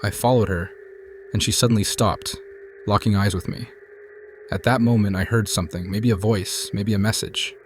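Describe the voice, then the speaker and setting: A man calmly narrating true scary stories in a measured, hushed scripted style. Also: fairly low pitch, slight background noise. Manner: calm, measured, hushed storytelling. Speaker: narrator reading viewer stories. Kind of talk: scripted horror story narration.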